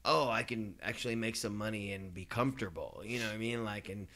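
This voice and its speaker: A man's voice: fairly low pitch, a little fast, bright, forceful tone. A man in his forties from East Los Angeles, talking openly in a casual podcast conversation, swearing freely and laughing often. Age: 40s. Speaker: podcast guest.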